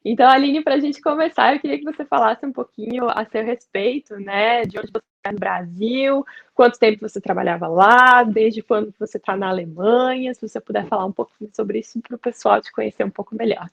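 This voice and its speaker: A woman's voice: fairly high pitch, brisk, gravelly, hardly any pauses, dull audio. A woman from Brazil hosting a casual video interview, asking her guest questions in a friendly, chatty way. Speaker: interview host.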